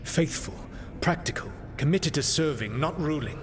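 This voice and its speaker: A man playing an ancient, proud and regretful elven rebel in a video game, speaking formally and deliberately in a measured, solemn way. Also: a little high, animated, long reverb tail, a little hiss or hum, close to an everyday voice. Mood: wistful. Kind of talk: video game dialogue acting.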